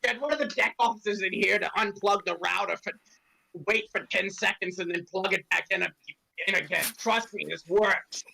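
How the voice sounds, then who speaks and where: Forceful, creaky man bantering. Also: sits high, moderate pace, low-quality recording. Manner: sweary, playful. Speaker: cast member in a comedy script reading. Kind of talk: comic improvised banter.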